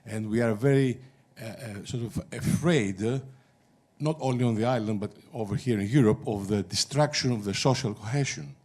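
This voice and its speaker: A man's voice: lowish voice, normal speed, a little mellow. Impassioned man from the floor. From Greece. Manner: impassioned and insistent. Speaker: audience member asking a question. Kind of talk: question from the floor. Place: large conference auditorium.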